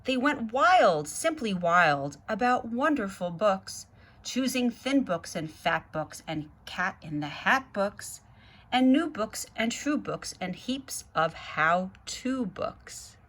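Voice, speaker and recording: Female picture-book reader, unhurried pace, mid-range pitch, addresses the kids directly between lines of the story. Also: big pitch swings, fairly bright tone. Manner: measured, addresses young listeners directly. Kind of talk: children's picture book read-aloud with asides to children.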